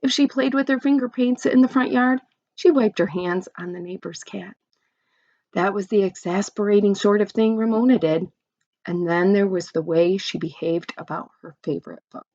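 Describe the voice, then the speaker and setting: A woman's voice: medium pitch, moderate pace, a little mellow, no room sound, lo-fi sound. A woman reading a children's story aloud expressively, with chatty asides to young listeners.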